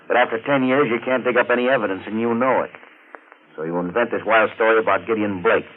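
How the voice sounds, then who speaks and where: Male actor as sinister chauffeur with deadly intent, deep insinuating voice, old thin radio sound with hiss. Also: natural voice. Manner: smooth, insinuating, menacing. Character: menacing former chauffeur turned would-be killer, smooth and threatening. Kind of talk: 1940s radio mystery acting. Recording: narrow, tinny, noisy 1940s radio recording.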